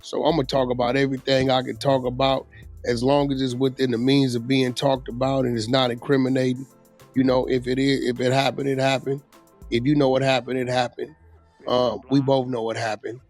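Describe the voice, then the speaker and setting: A man's voice: medium pitch, level tone, brisk, darkish tone. A man chatting casually and bluntly, with emphasis and a lot of slang. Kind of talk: casual opinionated chat about street gossip. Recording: music playing under the voice. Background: music.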